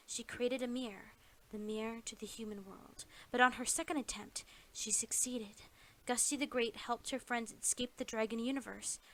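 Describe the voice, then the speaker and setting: Bright-voiced woman narrating dramatically. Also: on the high side. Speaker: storyteller.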